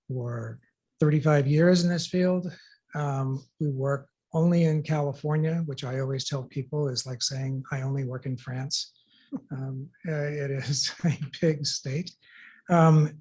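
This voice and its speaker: A soft-voiced man, a financial advisor, giving an easygoing presentation to a board. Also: a little high.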